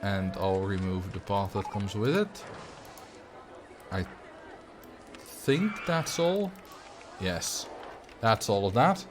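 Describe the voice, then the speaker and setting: A young man with a Dutch accent chatting casually on a livestream, relaxed and rambling as he answers chat while playing a building game. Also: lowish voice, slow, long pauses, a crowd in the background, background noise. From Netherlands. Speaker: streamer.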